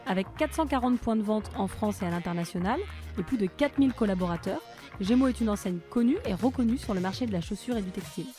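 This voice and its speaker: A woman hosting a business podcast, reading a brisk, composed introduction and then interviewing her guest. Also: medium pitch, lively intonation, mellow, soft background music. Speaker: podcast host.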